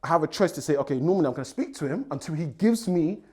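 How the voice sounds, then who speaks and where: A man's voice: fairly high pitch, lively intonation, rapid-fire, warm tone, husky. A young man, co-hosting a panel and arguing his view assertively, often asking if he is understood. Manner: assertive, persuasive, keeps saying "do you understand". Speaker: panel co-host. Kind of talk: casual panel discussion.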